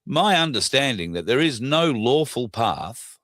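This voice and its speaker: An Australian man with a scratchy, hoarse throat, hosting a casual solo talk show in a blunt, indignant way. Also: mid-range voice, sing-song, a little slow, fairly bright tone, no echo, studio quality. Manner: blunt, indignant, frequent uh fillers. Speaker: podcast host.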